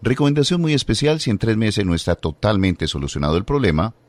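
A man with a very low voice reads a radio advertisement. His delivery rises and falls widely, and the sound is dry and close. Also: sing-song, fast talker, a little mellow, no echo. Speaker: advertisement announcer. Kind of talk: read radio product ad.

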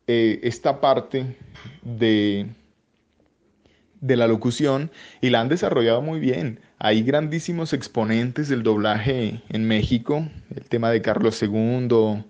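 A man from Colombia answering interview questions about voice work, pausing often with fillers and restarts. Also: mid-range voice, darkish tone, highs cut off. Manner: hesitant, many fillers and restarts. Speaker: voice-over artist guest.

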